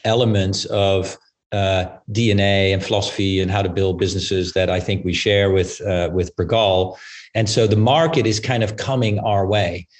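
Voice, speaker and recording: A man giving considered business answers as an interview guest, explaining at length with pauses. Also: deep voice. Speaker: investment firm partner, podcast guest.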